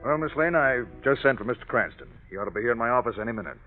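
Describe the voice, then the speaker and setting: A man's voice: mid-range voice, animated, rapid-fire, lightly acted. An actor playing an earnest male prison warden in 1940s radio acting. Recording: tinny vintage radio broadcast with music.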